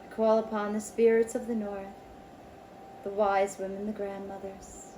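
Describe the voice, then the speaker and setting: Female meditation leader, slightly high and very mellow, ceremonial phrasing with pauses, faint ambient noise behind. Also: level tone, rough recording. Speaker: Reiki circle leader. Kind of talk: guided meditation with spoken invocations.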